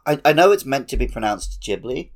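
A man's voice: mid-range voice, big pitch swings, a little fast. A man hosting a podcast, chatting casually and informally about films. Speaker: podcast host. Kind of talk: casual film chat.

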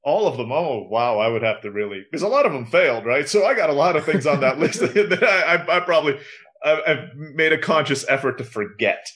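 A man's voice: on the high side, expressive, fairly quick, fairly bright tone. A man from Istanbul, Turkey, explaining things casually and fluently as an interview guest, with self-deprecating asides. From grew up in Istanbul, Turkey. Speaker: podcast guest, entrepreneur.

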